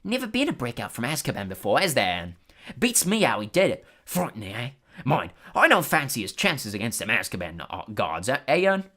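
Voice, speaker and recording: A reader voicing Stan, a chatty young male bus conductor who gossips loudly in working-class London speech. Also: medium pitch, huge pitch swings, a little fast, exaggerated voice. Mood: excited gossip.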